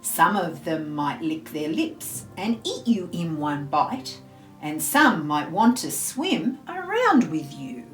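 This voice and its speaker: A woman from Australia reading a children's picture book aloud in a deliberate, theatrical way for small children. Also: low-pitched, very animated. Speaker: storyteller, school librarian. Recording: roomy echo, faint music behind.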